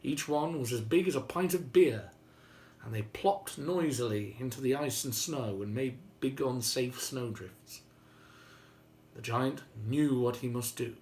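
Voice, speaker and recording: A man's voice: medium pitch, unhurried, a little mellow. Man telling a fairy tale, theatrically. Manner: theatrical, droll, conversational. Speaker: storyteller. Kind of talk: animated oral retelling of a fairy tale with playful asides.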